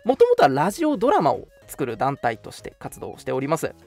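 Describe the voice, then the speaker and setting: A man's voice: a little high, huge pitch swings, brisk, a little mellow. A man hosting a casual radio show, chatting politely and making announcements over background music.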